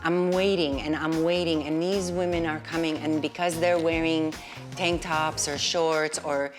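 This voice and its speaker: Thoughtful woman in her forties with a very low voice, soft music under her. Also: fairly even intonation, a little slow. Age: 40s. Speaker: interviewee. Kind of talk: candid sit-down interview. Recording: faint music behind voice.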